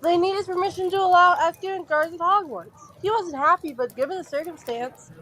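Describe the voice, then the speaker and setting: A woman's voice: very high-pitched, a little fast, a bit bright. A woman playing an earnest, worried middle-aged father and wizard official in a fan audio drama, with hesitant, insistent delivery. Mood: urgent, insistent.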